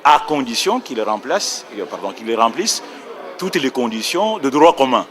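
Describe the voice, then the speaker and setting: A man speaking as a panelist, laying out a legal argument with care and precision. His pitch rises and falls a lot as he leans on key words. He sits at a middle height, neither deep nor high. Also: very animated, moderate pace, fairly bright tone. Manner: precise, lecturing, corrects himself mid-sentence. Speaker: round table panelist on nationality law. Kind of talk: explanatory legal commentary.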